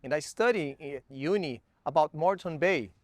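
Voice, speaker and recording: A man's voice: a little high, moderate pace, no room sound. A young man from Brazil, a native Portuguese speaker with a Brazilian accent, presenting a coastal weather report in enthusiastic, slightly broken English. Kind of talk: casual presenting.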